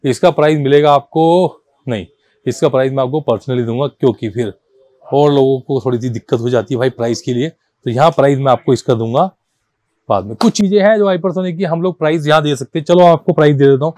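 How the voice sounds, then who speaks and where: A man gives a casual, persuasive sales pitch as a shop seller, often repeating himself and mixing languages informally. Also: fairly quick, darkish tone, no echo. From India. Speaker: car accessories shop seller and presenter.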